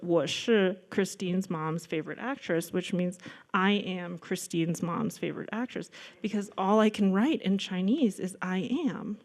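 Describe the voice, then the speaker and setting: A woman's voice: mid-range voice, darkish tone. A woman in her thirties, from the United States, giving a casual slide-show talk about her paintings, chatty and self-deprecating with many likes and ums. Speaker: guest artist lecturer. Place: lecture room.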